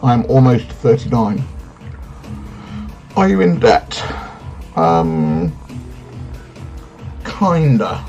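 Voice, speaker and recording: A man, answering personal questions in a frank, hesitant way with many pauses and ums. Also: medium pitch, big pitch swings, a little mellow, dull audio, faint music behind. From England. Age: 30s. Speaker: vlogger. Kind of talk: casual Q&A vlog.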